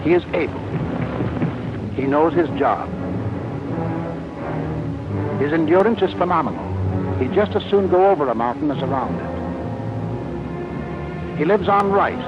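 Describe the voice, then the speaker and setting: An American man narrating a wartime propaganda film in a scripted, grave, authoritative and measured delivery. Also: fairly high pitch, lively intonation. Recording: muffled 1940s film soundtrack, loud music. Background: loud film score.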